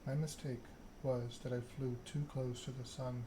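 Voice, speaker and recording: Man voicing a proud, measured vampire schemer, noisy. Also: mid-range voice, little pitch movement, close to an everyday voice. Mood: proud, nostalgic. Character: proud, scheming vampire aristocrat telling their story. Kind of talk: ASMR read-aloud of game dialogue.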